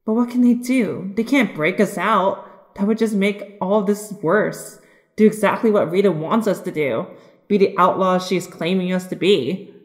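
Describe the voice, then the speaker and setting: An anxious young heroine played by a woman in an audio drama. She speaks earnestly and with worry, at a middling pitch. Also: normal speed, lightly acted. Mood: worried. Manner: worried, earnest. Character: anxious, frightened young female captive hero. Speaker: voice actor.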